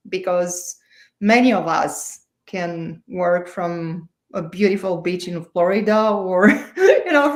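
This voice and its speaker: Romanian accent woman, talks kinda halting and warm, echoey room sound. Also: lowish voice. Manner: warm, hesitant, many restarts. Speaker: guest author. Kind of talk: interview answers.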